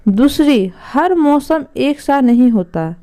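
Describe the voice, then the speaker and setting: A woman voicing a wise, patient king who instructs his sons with measured authority in a storybook tale. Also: high-pitched, animated, dark tone, voice pushed up. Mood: calm, advising.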